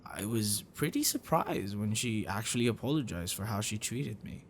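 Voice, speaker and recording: A gentle, bookish half-dragon boyfriend, acted by a man in an intimate ASMR roleplay. His voice is low and gravelly, with lively swings in intonation. He speaks haltingly and tenderly, as if apologizing to a sweetheart. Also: a little hiss or hum. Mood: wistful, reassuring. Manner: halting, soft chuckles and sighs, dropping to whispers.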